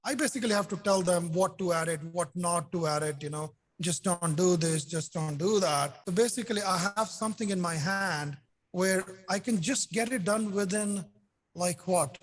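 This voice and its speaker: Casting note high-pitched older man, Indian-French accent. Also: moderate pace. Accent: Indian and French blend. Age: 60s. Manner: enthusiastic, repeats "so basically", frequent restarts. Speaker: customer and software developer. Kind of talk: casual video call chat. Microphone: video call.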